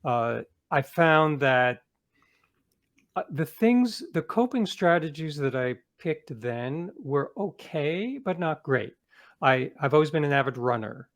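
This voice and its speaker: A man's voice: fairly high pitch, expressive, takes it slowly. Middle-aged man telling his story in conversation. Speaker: guest, author and podcast producer. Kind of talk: interview storytelling, reflective monologue.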